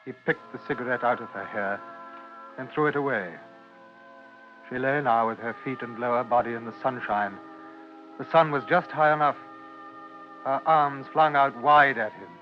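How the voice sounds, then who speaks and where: A grave storyteller, played by a male radio actor, narrates with measured solemnity in a medium-pitched voice. The old recording is dull, with faint music underneath. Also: lightly acted. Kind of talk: scripted radio drama narration.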